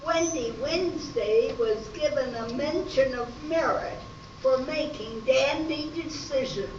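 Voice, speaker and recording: A woman playing a formal announcer, reading deliberate scripted narration for a radio play. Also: high voice. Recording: echoey hall, far mic, muffled, noisy.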